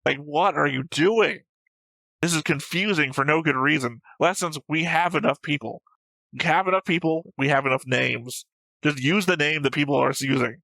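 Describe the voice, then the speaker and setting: Casting: male co-host, forceful bright tone, argumentative and emphatic, casual swearing, close dry sound. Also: fairly high pitch, moderate pace, vocal fry, no echo, low-quality recording. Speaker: co-host of an anime reaction show. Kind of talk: casual opinionated discussion.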